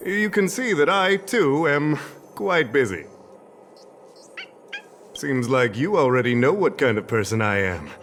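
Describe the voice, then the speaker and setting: A man's voice: medium pitch, lively intonation, a little slow, pauses often, a bit bright, a little hiss or hum. A man playing a vain, pompous professor in a video game, speaking dramatically and evasively. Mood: dismissive. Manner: pompous, dramatic, stammering when flustered. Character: vain, theatrical male professor, evasive and easily flustered.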